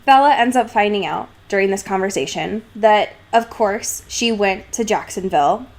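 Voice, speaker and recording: A woman's voice: mid-range voice, normal speed. A woman co-hosting a casual book discussion podcast, talking in an irreverent, sarcastic, sweary way. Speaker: podcast co-host.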